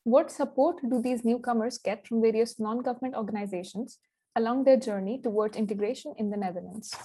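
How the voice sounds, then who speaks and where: A young woman from India co-hosting a podcast as a student. Her voice is fairly high yet mellow and a little breathy. She reads her questions, then talks more loosely. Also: fairly quick. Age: young adult. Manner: reads prepared questions, then chats with fillers. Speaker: podcast co-host, university student.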